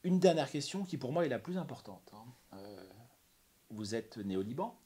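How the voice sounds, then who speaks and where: A man's voice: mid-range voice, very animated, moderate pace, warm tone. A man hosting an interview, asking careful questions with hesitant fillers. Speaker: interviewer, host.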